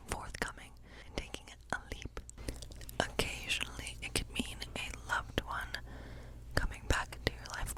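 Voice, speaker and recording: A woman playing a gentle witch in an ASMR tarot role play, speaking in a soft, breathy, unhurried whisper. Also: natural voice, low-quality recording. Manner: gentle, unhurried, softly musing. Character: friendly cottage witch woman reading tarot by night.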